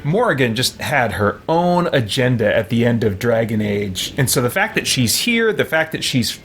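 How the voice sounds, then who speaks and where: A man giving casual game commentary in a halting, reflective way. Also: mid-range voice, big pitch swings, a bit bright. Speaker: gamer, roleplay host. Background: faint game music.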